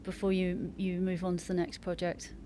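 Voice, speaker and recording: A woman's voice: on the low side, completely flat delivery, moderate pace, warm tone, a little hiss or hum. A British woman interviewing in a conversational way, with polite and tentative phrasing.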